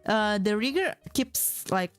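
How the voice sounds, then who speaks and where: Young woman, non-native English, unhurried casual streamer chat, faint background music. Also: medium pitch, expressive. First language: not English. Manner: chatty and rambling, reads chat aloud, laughs often. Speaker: vtuber streamer.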